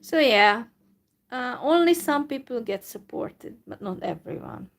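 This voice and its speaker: A woman with a Hungarian accent speaks slowly in a somewhat high voice. She is talking in a live video monologue, sounding resigned and weary. She hesitates often and trails off between thoughts. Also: big pitch swings, vocal fry. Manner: downcast, resigned and hesitant, with many pauses. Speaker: YouTuber.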